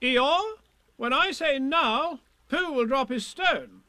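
A man's voice: very high-pitched, animated, slow, sharp tone, dry recording, pitched above the performer's own voice, heavily acted. A man voicing a bossy male rabbit in a storybook, giving clipped, commanding instructions.

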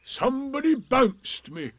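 A man's voice: very high-pitched, big pitch swings, unhurried, no echo, pitched above the performer's own voice, heavily acted. A man voicing a gloomy, sulky old male donkey in a storybook reading, with a mournful, sarcastic drawl.

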